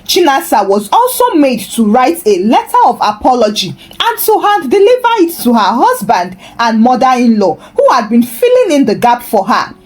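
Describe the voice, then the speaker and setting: A woman with a Nigerian accent narrating a folktale in an expressive, humorous, dramatic way. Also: sits high, moderate pace, few pauses, low-quality recording. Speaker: storyteller.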